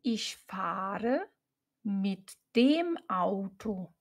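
A woman reading short example sentences for learners, saying each one twice in clear, separate chunks. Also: mid-range voice, animated, drawn-out words. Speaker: German teacher.

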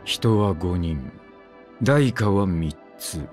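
A man's voice: lively intonation, drawn-out words, darkish tone, soft background music, exaggerated voice. A man voicing a brooding, weary immortal swordsman in a game, speaking in terse, clipped phrases. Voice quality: low, curt, grim. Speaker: game character voice lines. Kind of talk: game voice-line acting.

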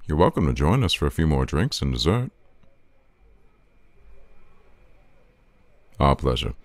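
A suave man, played by a male actor, flirts and teases in an intimate audio roleplay. His voice sits extremely low, with a creaky edge and lively swings in intonation. He talks in an informal, playful, smooth-talking way. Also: fast, no echo, exaggerated voice. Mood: gracious, inviting. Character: suave, teasing, flirtatious man hosting a night out.

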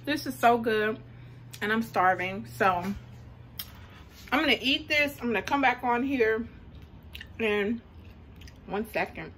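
A woman's voice: fairly high pitch, slow pace, long pauses, a bit bright, a little hiss or hum. A young adult woman talking informally and chattily, as in a casual cooking vlog. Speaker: vlogger.

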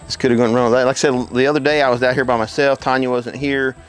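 A man's voice: mid-range voice, fairly even intonation, fast talker. Easygoing middle-aged man outdoors with music. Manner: easygoing, self-deprecating, rambling with restarts. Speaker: homestead vlogger. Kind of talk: casual narration while working. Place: outdoors at a sawmill in the woods.

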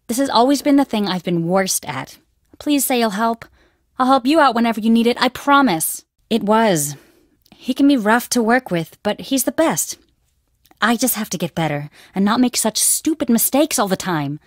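A young archaeologist. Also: female voice, fairly high pitch, dry recording, studio quality. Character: young female archaeology student at an Egyptian dig. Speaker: video game character. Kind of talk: studio game voice acting.

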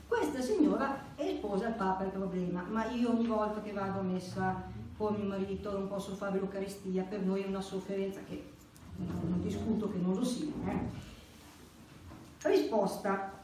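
A woman's voice: medium pitch, normal speed. A middle-aged woman giving an explanatory religious lecture, conversational and emphatic with wry asides, partly reading from notes. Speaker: conference speaker. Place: indoor church meeting room. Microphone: stand microphone. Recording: very echoey room, distant, faint hiss.

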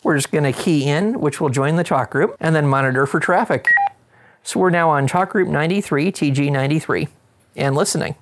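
A man's voice: on the high side, fairly quick, noisy background. A middle-aged man with an American accent presenting an instructional demonstration with casual radio chat, methodical in his delivery, recorded in an indoor studio. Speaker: presenter, ham radio operator. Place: indoor studio with wood-panel backdrop.

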